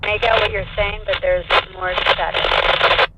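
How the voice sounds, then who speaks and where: A woman's voice: mid-range voice, steady tone, slow pace. Woman over a crackly, static-filled walkie-talkie. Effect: two-way radio transmission. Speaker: test partner giving signal reports. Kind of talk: short radio check replies.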